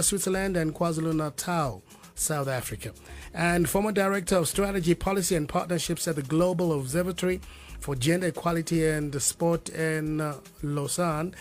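A man hosting a radio talk show, upbeat, with some fillers and restarts. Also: on the high side. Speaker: radio host.

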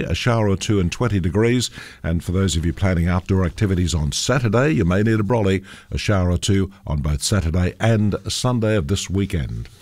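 An Australian man presenting morning radio in a studio, his low voice a little croaky from a cold. He talks in an easygoing way, chuckling often, and the sound is close and dry. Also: darkish tone, dry recording. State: has a cold. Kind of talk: breakfast radio chat and weather. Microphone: studio microphone.

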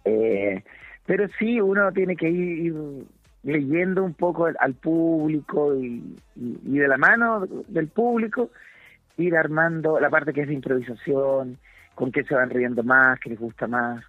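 A Chilean man in his fifties, a comedian and guest, chatting in a relaxed, halting way and often repeating words while he searches for them. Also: on the high side, no echo, soft background music. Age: 50s. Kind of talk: relaxed interview chat. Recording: dry tinny phone line.

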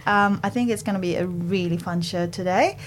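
A woman's voice: fairly low pitch, a little fast, slight background noise. A woman from Japan who partly grew up in England, hosting a community radio show in a studio with a chatty, friendly presenting style. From Japan, childhood partly in England. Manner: chatty, laughs often. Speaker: radio host. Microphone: studio microphone.

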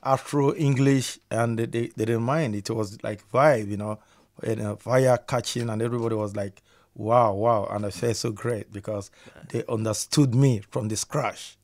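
A man's voice: mid-range voice, fairly even intonation, moderate pace, a little mellow. Upbeat man from Nigeria. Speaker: musician guest. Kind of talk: radio interview.

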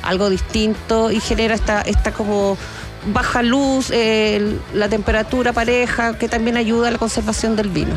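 A woman's voice: on the high side, steady tone, moderate pace, music in the background. A woman with a Chilean accent chatting casually as a co-host on a show. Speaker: co-host, wine commentator. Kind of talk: casual chat.

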